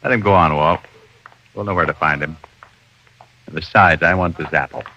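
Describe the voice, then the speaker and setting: An actor playing a confident, wry private detective with an American accent, reasoning aloud in an old radio drama. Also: male voice, deep voice, normal speed, pushed and bright, heavily acted. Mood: intrigued. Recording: muffled old radio recording.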